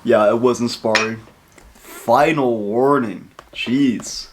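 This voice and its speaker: A man's voice: mid-range voice, slow. A man hosting a reaction video, casual, blunt and chatty, with some swearing. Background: game music.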